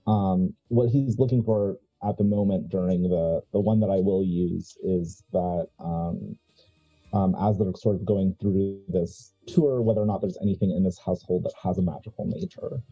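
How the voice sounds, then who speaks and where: A man's voice: low voice, moderate pace, warm tone, husky, muffled recording. A man playing a tabletop game, talking casually and hesitantly, recorded a little dully with music behind him.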